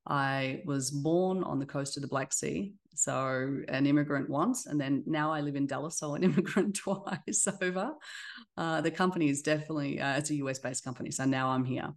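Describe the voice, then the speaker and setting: A woman's voice: low-pitched, normal speed. A middle-aged woman with an Australian accent, a company CEO chatting as a guest on a podcast, friendly and full of fillers. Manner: friendly, with fillers and short laughs. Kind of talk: casual interview chat.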